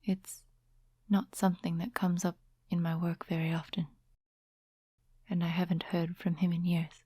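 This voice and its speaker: A woman playing a composed, courteous, quietly mysterious witch in a soft-spoken ASMR fantasy roleplay, with a whispery, breathy voice and gentle, formal, unhurried phrasing. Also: fairly low pitch, little pitch movement, dry recording, studio quality. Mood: wistful, reflective.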